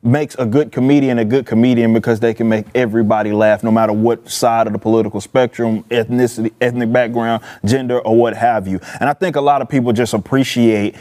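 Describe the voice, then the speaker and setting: Emphatic man in casual talk, mellow voice. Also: medium pitch, steady tone, brisk, few pauses. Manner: emphatic, repeats phrases, colloquial. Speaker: panel guest.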